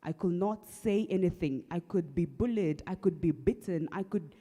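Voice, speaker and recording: A young Kenyan woman with a husky voice, earnestly telling her personal story at an awareness event, with halting restarts. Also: lowish voice, dark tone. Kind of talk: personal testimony talk. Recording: long hall reverb.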